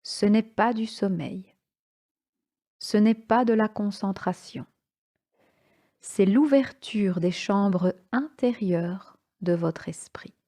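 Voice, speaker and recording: A woman instructor leading a guided relaxation meditation in a calm, soothing manner, with unhurried pauses between short phrases. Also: medium pitch. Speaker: yoga nidra instructor.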